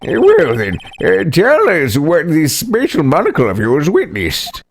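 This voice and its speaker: Man putting on a high-pitched flustered judge. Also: wildly swooping pitch, measured pace, vocal fry, a little hiss or hum, full character voice. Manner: stammering, hesitant. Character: flustered, dithering male courtroom judge. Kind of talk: dramatic video game dialogue reading.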